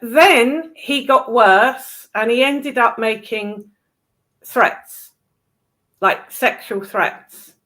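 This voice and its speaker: A woman's voice: fairly high pitch, very slow, fairly bright tone, rough recording. A woman with a British accent giving blunt, opinionated commentary in a casual conversation.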